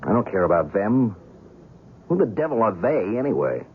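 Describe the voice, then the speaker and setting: A man's voice: lowish voice, very animated, moderate pace, phone-quality sound. An actor playing a shaken, skeptical and stubborn male reporter in an old-time radio drama, talking in a colloquial, pleading way. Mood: troubled, doubting. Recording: noisy, thin old radio recording.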